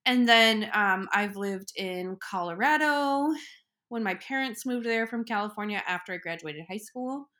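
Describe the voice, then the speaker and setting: A woman's voice: medium pitch, animated, measured pace, bright, forceful tone. Young woman guest, reflective, chatty storyteller, casual podcast talk. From Ecuador. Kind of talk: casual chat.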